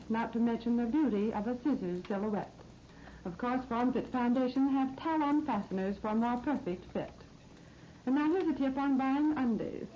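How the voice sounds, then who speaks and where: An American woman presenting scripted 1930s radio shopping advertising in a polished, promotional manner. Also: on the high side. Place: radio studio. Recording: muffled, noisy 1939 radio disc recording.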